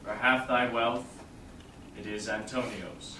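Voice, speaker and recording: Man playing lofty, bright-voiced Duke. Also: mid-range voice, flat delivery. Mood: magnanimous. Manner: formal, measured pronouncement. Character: Duke of Venice, lofty magnanimous ruler. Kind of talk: Shakespearean staged reading. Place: small indoor theatre stage. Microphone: distant audience camera. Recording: roomy, noisy theatre recording from far off.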